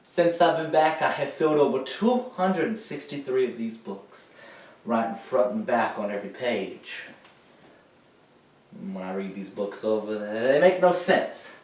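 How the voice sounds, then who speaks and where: A young man acting a troubled, grieving war veteran in a theatrical monologue, halting and emphatic, swinging between hushed and loud delivery. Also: mid-range voice, animated, close to an everyday voice. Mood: strained, earnest. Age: teenager or young adult. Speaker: high school dramatic interpretation competitor. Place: echoey indoor room, recorded from far off. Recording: echoey room, distant microphone.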